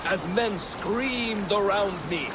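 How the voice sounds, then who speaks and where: A man's voice: high-pitched, slow, very noisy. An actor playing a proud, self-important Norse shipbuilder telling tales of his own genius in a video game. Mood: boastful. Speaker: game character. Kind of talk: theatrical storytelling game dialogue.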